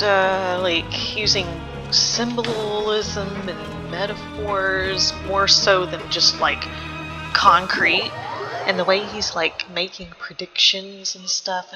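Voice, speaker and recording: A woman giving casual, analytical game reaction commentary, hesitant and thinking out loud. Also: medium pitch, steady tone, slow, music in the background, rough recording. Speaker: reaction video host.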